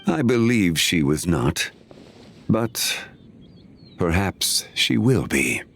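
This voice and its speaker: A calm wise formal gentleman from a game, low slow voice, expressive melody, philosophical. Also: gravelly, full character voice. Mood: serene, musing. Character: calm, wise, dignified gentleman speaking in aphorisms. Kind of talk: video game voice acting.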